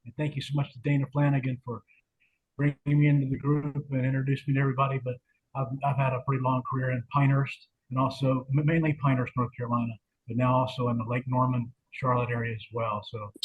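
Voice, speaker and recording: A man giving a brief, slightly halting introduction as a guest on a video call. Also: mid-range voice. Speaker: guest, developer. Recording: tinny phone-like line.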